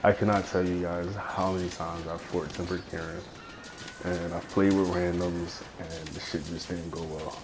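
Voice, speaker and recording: A young man with a cold and a raspy voice, rambling through casual gameplay commentary into a phone headphone mic, full of fillers and swearing. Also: deep voice, little pitch movement, normal speed, background noise. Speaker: gaming YouTuber.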